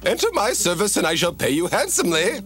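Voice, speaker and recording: Elderly cartoon king, high pitch, very animated, pompous, flustered bluster, music behind. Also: fast. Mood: regal. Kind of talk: animated cartoon character acting.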